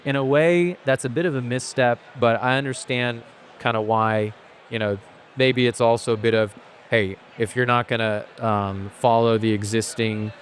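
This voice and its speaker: A man's voice: on the low side, a little hiss or hum. A man who grew up in Asia, a guest on a podcast, talking at length in a casual, thoughtful way with frequent restarts. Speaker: podcast guest, company founder. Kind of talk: casual interview conversation.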